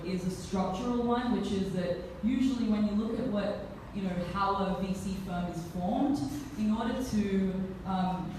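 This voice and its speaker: A woman investor speaking on a panel, measured and articulate, in a lower voice. The hall rings with long reverb, and her voice comes from a distance. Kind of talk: panel discussion, explaining. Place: event hall. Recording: echoey hall, distant mic, background noise.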